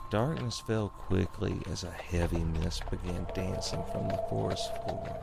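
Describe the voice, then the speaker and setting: Deep, gravelly male horror storyteller. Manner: ominous, theatrical. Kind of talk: dramatic scripted horror narration. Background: music.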